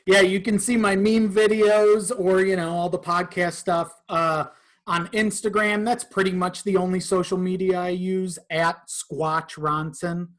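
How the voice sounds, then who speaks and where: Male podcast guest, slightly high voice, informal, hesitant delivery with repeated phrases. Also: fairly even intonation, unhurried. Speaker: podcast guest, audio drama creator. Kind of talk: casual chat.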